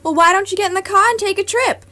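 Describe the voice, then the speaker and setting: A woman's voice: extremely high pitch, fast talker, sharp tone. A woman playing a concerned, soothing friend who offers daft advice in a comedic radio sketch. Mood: advising.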